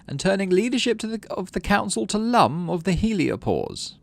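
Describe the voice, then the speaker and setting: A man voicing a prim, firm older woman who governs a colony in a sci-fi game, speaking in a measured, diplomatic way. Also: high voice, big pitch swings, vocal fry, no echo, voice pushed up, full character voice. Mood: prim, composed.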